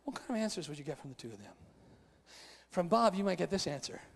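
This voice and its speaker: A man preaching live at a conference, telling a comic story in an animated, playful way with sound effects. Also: sits high, fast, gravelly, breathy edge. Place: large conference hall, live event. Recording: long hall reverb.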